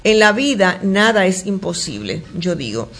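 A woman gynecologist on a call-in radio show, reading listeners' questions aloud and answering them in a warm, chatty way with many fillers and restarts. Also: mid-range voice, big pitch swings, moderate pace. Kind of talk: call-in health advice show.

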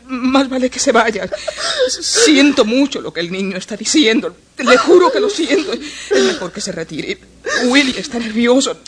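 An actress playing a gentle but firm adoptive mother who pleads earnestly, in a radio drama. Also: a little high, very animated, normal speed, a crowd in the background. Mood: distressed.

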